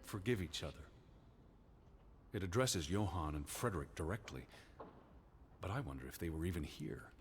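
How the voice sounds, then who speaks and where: Low-voiced, bookish stern naturalist. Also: male voice, expressive, normal speed, pauses often, slight background noise. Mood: solemn. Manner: precise, formal, bookish. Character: stern, learned 1920s American naturalist, earnest and skeptical. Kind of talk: naturalistic video game dialogue.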